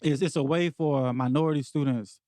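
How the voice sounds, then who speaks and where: A man in his forties from Memphis, a podcast guest telling stories in a relaxed, easygoing way and laughing easily. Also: fairly high pitch, moderate pace, dry recording, studio quality. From Memphis, Tennessee. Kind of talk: casual conversation.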